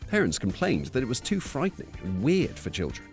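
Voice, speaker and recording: A man's voice: medium pitch, huge pitch swings, brisk, creaky, a little mellow. A man reading scripted narration for a countdown video. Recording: music under the voice.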